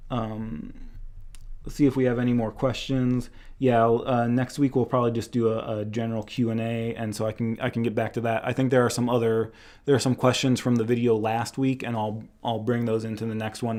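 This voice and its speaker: A man's voice: mid-range voice, flat delivery, normal speed, a little mellow. A young man teaching Chinese medicine in a casual live-stream review, rambling a bit with restarts, his voice tired after two hours of lecturing.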